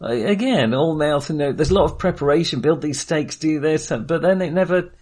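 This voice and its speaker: A man co-hosting a film podcast in casual discussion, thinking aloud in a hesitant, halting way. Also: fairly high pitch, darkish tone.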